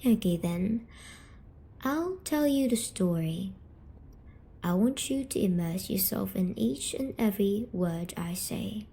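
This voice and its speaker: A woman performing scripted ASMR roleplay as a gentle, patient, eerie demon visitor, speaking softly and coaxingly and slipping into whispers. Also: mid-range voice, lively intonation. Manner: soft, slow, coaxing delivery slipping into whispers. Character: gentle, patient, eerie female sleep paralysis demon comforting a dreamer. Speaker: ASMR audio storyteller.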